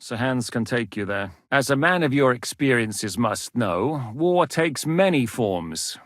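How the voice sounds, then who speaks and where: A man's voice: medium pitch, expressive, unhurried, no room sound, close to an everyday voice. A man voicing a hardened warlord commander in a video game, blunt and weary, cursing freely. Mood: gruff. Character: hardened male warlord commander, gruff and wary. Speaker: game character voice lines. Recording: dry studio voice recording.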